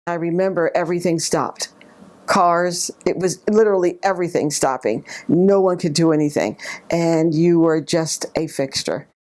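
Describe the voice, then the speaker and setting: A woman with a low-pitched voice speaks in an interview. She is reflecting on what she has lived through. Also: moderate pace, darkish tone.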